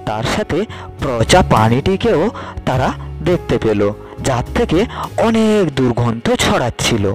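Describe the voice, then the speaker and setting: A man's voice: medium pitch, sing-song, a little slow. Male children's story narrator over music. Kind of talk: scripted children's fairy tale narration.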